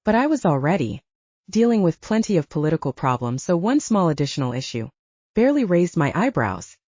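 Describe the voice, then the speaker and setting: A woman's voice: lowish voice, very animated, fairly quick, darkish tone, no echo, dull audio. A woman narrating first-person fiction from a script, as in an audiobook.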